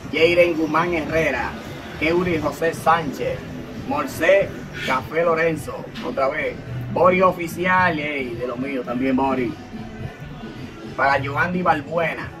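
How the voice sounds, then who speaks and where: A Dominican man in his thirties reading shout-outs to viewers off his phone, filmed outdoors by a barred window. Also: a little high, poor audio. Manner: slow listing of names, reading off a phone. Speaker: channel host.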